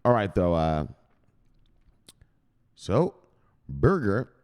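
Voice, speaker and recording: A man's voice: deep voice, warm tone. A man hosting a crypto news channel, ranting through the headlines in a profane and emphatic way.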